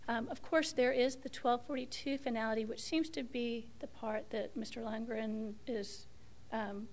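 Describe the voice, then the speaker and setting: A woman attorney making an appellate oral argument while she is losing her voice, speaking in a measured, hesitant way with frequent restarts. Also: fairly high pitch, steady tone, a little mellow. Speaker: attorney arguing an appeal. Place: courtroom.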